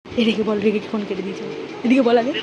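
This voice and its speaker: A woman chatting casually and excitedly with friends in a playful, teasing way. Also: a little high, fast, background noise.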